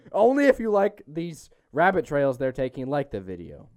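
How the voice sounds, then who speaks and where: Animated man hosting a casual podcast. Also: a little high, normal speed, dry recording. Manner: exasperated, trying to keep friends on track. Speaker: podcast host. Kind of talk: casual movie-review chat.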